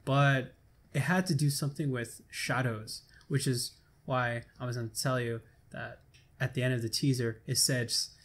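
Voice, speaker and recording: A young man chatting on a gaming podcast with friends. He rambles, hedges often and breaks into laughs. He sounds relaxed and unsure. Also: medium pitch, normal speed. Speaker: podcast co-host. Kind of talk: casual chat among friends.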